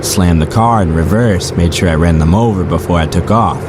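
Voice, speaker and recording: A man's voice: low-pitched, normal speed, natural voice. A man playing a weary, musing rideshare driver who narrates eerie stories in a conversational, first-person audio drama style. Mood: tense recounting. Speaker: narrator and lead character. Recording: clearly noisy, car and street ambience.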